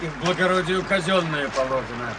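An actor in a radio play voicing an excitable, deferential Cossack sentry with folksy, barking speech. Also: male voice, on the high side, fairly quick, noisy background, lightly acted. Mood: eager, deferential.

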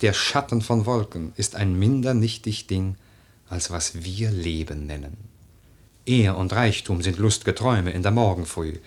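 An actor playing a young, world-weary widower in a verse radio drama, speaking gravely and deliberately. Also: male voice, on the low side. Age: young adult.

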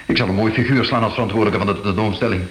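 A man's voice: fairly low pitch, fairly even intonation, very fast, natural voice. A man playing a sceptical, anxious exhibition organiser in a radio drama. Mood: shocked, agitated.

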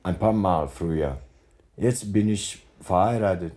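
Low, dark-voiced businessman. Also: unhurried. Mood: guarded. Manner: clipped, simple broken phrasing. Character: guarded foreign businessman, sick in bed with a cold. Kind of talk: radio crime drama acting.

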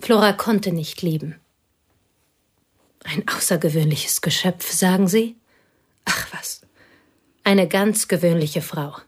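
A husky, whispering confidante. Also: female voice, fairly low pitch, takes it slowly, pauses often. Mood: intense. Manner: intense, intimate confession. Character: plain devoted woman confessing to a pianist. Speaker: confiding companion. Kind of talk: radio play acting.

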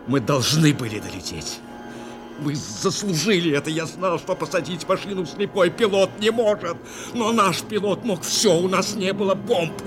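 An actor playing an anxious, dutiful bomber navigator in a radio play, with earnest, intense delivery. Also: male voice, high-pitched, animated, moderate pace, music in the background. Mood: rising hope. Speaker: actor in an audio drama. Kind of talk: Soviet radio play acting, dramatic narration and dialogue.

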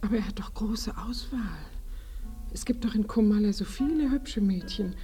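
An actress in a radio play voicing a bashful, flustered village bride-to-be who is devout and humbly grateful, hesitant and often close to whispering. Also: female voice, mid-range voice, moderate pace, dark tone, soft background music, lightly acted.

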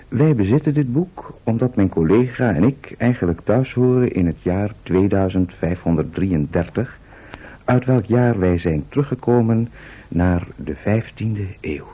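A man playing an urbane visitor from the future who narrates a radio serial in a measured, genial way. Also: low-pitched, lively intonation, breathy edge, exaggerated voice. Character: urbane man from the twenty-sixth century narrating a recap. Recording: thin, narrow-band old radio recording.

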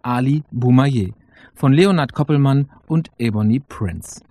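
A man with a medium-pitched voice reads a scripted radio introduction. He narrates in a prepared, presentational style. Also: measured pace, mellow, slight background noise.

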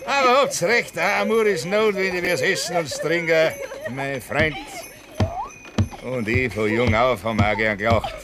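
A man's voice: on the high side, background noise, close to an everyday voice. An actor playing a middle-aged Bavarian man who drives his old friend's coffin home. He tells stories with hearty relish and laughs easily, in a 1960s radio play. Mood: jovial. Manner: hearty storytelling, quick to laugh.

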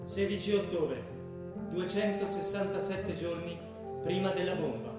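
Solemn narrator, high-voiced, echoing. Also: male voice, measured pace. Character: solemn, measured male narrator, fateful and philosophical. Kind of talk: solemn theatrical recitation. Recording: heavily echoing, loud plucked guitar music.

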